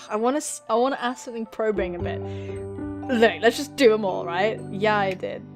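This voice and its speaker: A young woman hosting a game playthrough, excitable and giggly, switching between reading aloud and reacting. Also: medium pitch, measured pace, pauses often, over music. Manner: excitable, squealing, giggly, swearing. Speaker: gaming video host.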